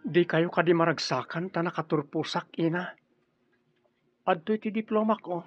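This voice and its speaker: A man's voice: high voice, moderate pace, no echo, muffled recording, soft background music. An actor playing an earnest, respectful, peace-loving young man fresh from graduation in an Ilocano radio drama. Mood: earnest, pleading.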